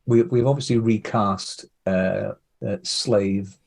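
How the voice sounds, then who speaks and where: A man's voice: lowish voice, steady tone, slow pace, creaky, darkish tone. A man giving hesitant, discursive explanations as the guest in a casual interview. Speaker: interview guest, audio drama producer.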